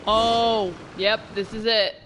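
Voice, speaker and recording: A woman's voice: a little high, little pitch movement, slow, a bit bright, slight background noise. A woman giving casual let's play commentary, thinking aloud in informal, slangy speech.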